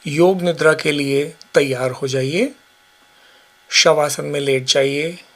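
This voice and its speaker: A man guiding a yoga nidra relaxation session with slow, calm, measured instructions. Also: fairly high pitch. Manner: slow, calm, measured guidance with long pauses. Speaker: yoga teacher.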